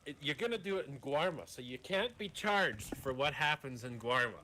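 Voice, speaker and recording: A man roleplaying an amoral mad doctor in a Western game, explaining his schemes calmly and haltingly. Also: fairly high pitch, a little hiss or hum, low-quality recording. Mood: scheming. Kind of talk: improvised online Western roleplay.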